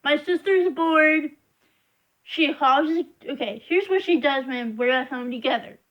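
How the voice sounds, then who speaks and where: High-pitched young woman venting slowly. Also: lo-fi sound. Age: young adult. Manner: frustrated, rambling, emphatic complaining. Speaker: vlogger. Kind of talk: casual venting monologue.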